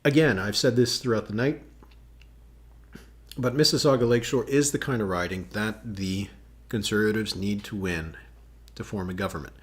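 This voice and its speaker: Low-voiced man thinking aloud. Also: moderate pace. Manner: musing, chatty, thinks aloud with many fillers. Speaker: political analyst hosting a livestream. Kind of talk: casual live analysis reacting to chat.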